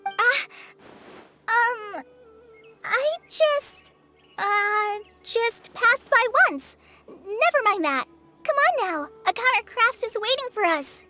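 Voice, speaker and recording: A woman voicing a kind young female traveler in a game, gentle and reassuring. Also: very high voice, animated, takes it slowly, soft background music, exaggerated voice. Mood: flustered. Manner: gentle, reassuring, flustered when caught. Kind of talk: game voice acting.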